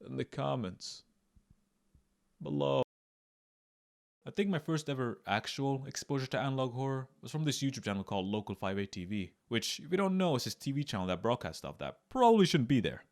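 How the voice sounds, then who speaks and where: A man's voice: medium pitch, sing-song, fairly quick, a little mellow. A man hosting a comedic scripted video essay, melodramatic and mock-serious, with sudden emphatic bursts. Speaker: YouTube video essayist and host. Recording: clear music under the voice.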